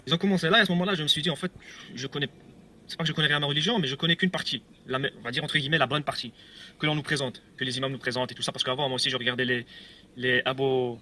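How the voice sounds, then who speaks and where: A man's voice: mid-range voice, steady tone, rapid-fire, bright, forceful tone, a little hiss or hum. A man telling his own story on video, rambling and hesitant, with repeats and nervous laughs. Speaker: ex-believer giving a testimony video. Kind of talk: casual video testimony.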